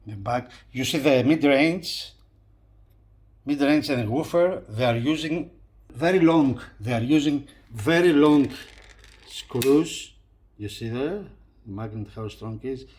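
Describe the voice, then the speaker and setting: A man presenting a hi-fi review in a casual hands-on style, talking haltingly and repeating phrases as he points things out. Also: mid-range voice, a little mellow. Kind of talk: casual hands-on video commentary.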